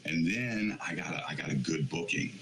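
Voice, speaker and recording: Deep-voiced, bright-toned male comedian. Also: moderate pace, gravelly, slight background noise, low-quality recording. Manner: rambling, keeps asking "you know what I mean". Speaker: comedian guest. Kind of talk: casual interview chat.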